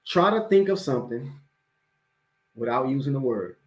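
A man's voice: medium pitch, animated, moderate pace. An American man in his forties, an imam, gives a motivational religious talk in a conversational, emphatic way, repeating phrases for emphasis. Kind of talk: motivational religious lecture to camera. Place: small indoor room at home or office. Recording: roomy, echoey webcam audio.

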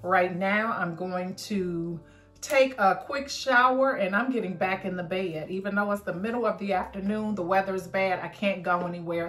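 A woman's voice: medium pitch, fairly bright tone. A middle-aged woman chatting casually to her viewers in a clean-with-me home vlog, filmed in her bedroom with a camera in front of her. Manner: chatty, friendly direct address to viewers. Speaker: lifestyle vlogger. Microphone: camera in front at close range. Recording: roomy bedroom echo with faint music.

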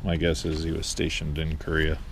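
A man's voice: a bass voice, completely flat delivery, normal speed. A middle-aged man thinking aloud as he sorts through a cluttered storage room, filmed on a body-worn camera. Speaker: flea market reseller. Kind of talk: casual narration while sorting. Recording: noisy handheld camera audio.

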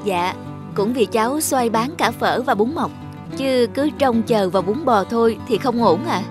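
An actress playing an earnest, envious young woman who runs a noodle shop in an animated drama, speaking politely and eagerly. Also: a little high, measured pace. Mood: polite, modest. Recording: loud music under the voice.

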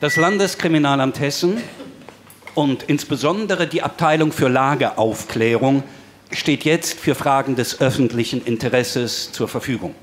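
A man's voice: mid-range voice, normal speed, slight background noise, close to an everyday voice. A man playing a formal, curt police spokesman giving press statements in an audio drama. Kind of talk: official press conference statement, acted.

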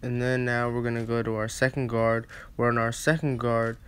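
A man's voice: mid-range voice, little pitch movement, normal speed, a bit bright, clear, resonant tone, faint music behind. A man narrating a casual step-by-step barber tutorial, with hesitant, repetitive phrasing.

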